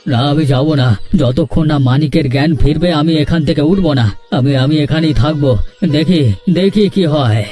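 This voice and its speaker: An actor playing a stubborn, remorseful uncle who heads a family, speaking in an emotional, pleading cartoon melodrama style. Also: male voice, fairly high pitch, normal speed, warm tone, soft background music, natural voice. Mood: grieving, praying.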